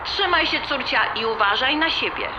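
Woman playing high-voiced, chatty, affectionate mother. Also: animated, normal speed. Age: middle-aged. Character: cheerful, slightly flustered middle-aged mother, affectionate. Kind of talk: radio drama dialogue. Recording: echoey, noisy room sound.

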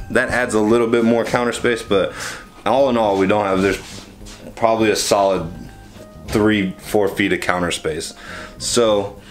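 Chatty young man in a small kitchen. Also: on the low side. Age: young adult. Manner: rambling, chatty, self-correcting. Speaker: vlogger. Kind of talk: casual cooking how-to. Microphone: handheld camera at arm's length.

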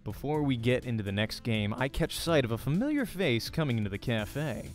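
A man's voice: medium pitch, sing-song, a little fast. A man doing a let's play, reading a visual novel's narration aloud with expression. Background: faint music.

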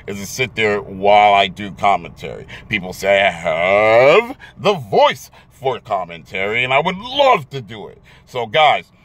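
A man's voice: on the low side, very animated, unhurried, few pauses, fairly bright tone, no echo. An American man in his thirties talking casually to camera as a vlogger in a car, emphatic and often repeating words as he searches for the next one. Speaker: YouTube vlogger. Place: inside a car in daylight. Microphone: phone or camera at arm's length.

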